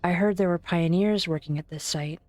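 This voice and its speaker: A woman playing an earnest, composed doctor and researcher in an amateur game fan dub, polite, measured and sincere. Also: lowish voice, darkish tone, no echo, faint music behind, close to an everyday voice.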